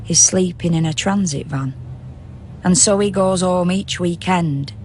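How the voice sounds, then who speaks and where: Radio drama narrator played by an actor: solemn, all-knowing, unhurried poetic delivery, ambient noise underneath. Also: medium pitch, darkish tone, natural voice. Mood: measured, knowing. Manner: measured, rhythmic verse delivery. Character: solemn omniscient storyteller of a city at night.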